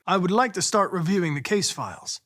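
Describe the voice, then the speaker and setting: A man's voice: high-pitched, expressive, a bit bright, no echo, clean studio sound. A man voicing a young android police investigator in a video game, with an American accent and a precise, formal, mission-driven manner. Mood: cool, probing. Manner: precise, clipped, formal, measured, insistent when pressed. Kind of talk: video game voice acting, isolated dramatic lines.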